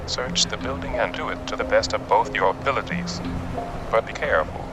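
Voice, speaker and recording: A low-pitched, gruff-tempered police chief played by a man barks orders and swears freely in an audio drama. He is brusque and hot-headed yet protective, with loud music behind him. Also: fairly quick, natural voice. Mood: stern, wary. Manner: brusque, profane, barking orders.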